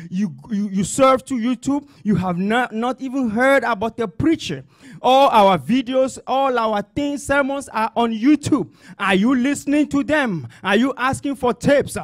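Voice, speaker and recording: A man's voice: sits high, dry recording, clean studio sound. A male pastor with a non-native English accent, preaching and praying in a fervent, emphatic way with repeated phrases. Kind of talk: sermon and congregational prayer.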